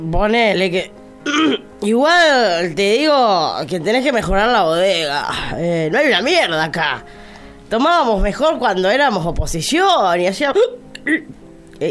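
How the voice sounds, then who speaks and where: A woman's voice: medium pitch, fairly bright tone. Grumbling female minister, Argentine accent, drawling, coarse, blunt, mid-range voice, radio satire, light background music. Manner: drawling, coarse, throat-clearing, bossy. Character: blunt, grumbling, trigger-happy female minister, boozy caricature. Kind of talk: satirical radio drama acting.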